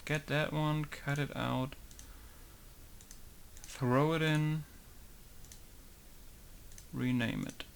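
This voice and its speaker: A man's voice: a little high, steady tone, extremely slow, vocal fry, long pauses, slight background noise. Man muttering through a modding tutorial. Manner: muttering to himself, thinking aloud. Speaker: game modder. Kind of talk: casual tutorial while working.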